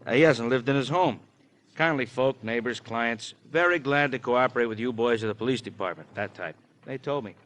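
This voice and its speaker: An American actor playing a wry, roundabout police detective who feeds out hints bit by bit in a 1950s radio crime drama. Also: male voice, medium pitch, fairly quick, a bit bright, lightly acted. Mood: wry, insinuating. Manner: drawling out hints, tagging questions with huh. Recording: hissy old radio recording.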